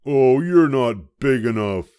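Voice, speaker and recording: A grouchy little storybook ladybug acted by an older man, pitched high and loud. The delivery is brash and challenging, swooping up and down as it picks fights. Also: lively intonation, takes it slowly, clean tone, no room sound, studio quality. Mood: dismissive. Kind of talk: children's storybook character voice.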